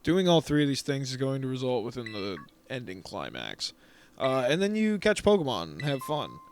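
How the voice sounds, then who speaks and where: A man's voice: mid-range voice, big pitch swings, moderate pace, a little hiss or hum. A man hosting a let's play, giving casual, rambling commentary over a game. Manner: laid-back and rambling, with many fillers.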